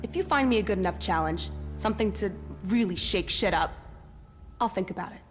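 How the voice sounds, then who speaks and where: A woman's voice: mid-range voice, expressive, brisk, lightly acted. Brash female mercenary in heavy reverb voiced by an actress. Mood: cocky, blunt. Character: brash, cynical female mercenary hungry for challenge. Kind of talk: video game character dialogue. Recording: long reverb, music underneath.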